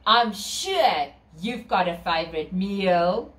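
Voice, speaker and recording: Theatrical South African woman, very animated storyteller. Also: mid-range voice, slow. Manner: theatrical, exaggerated, sing-song emphasis. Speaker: children's storyteller. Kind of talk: reading a picture book aloud to children, with asides. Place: small bedroom, indoors. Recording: roomy echo from camera distance.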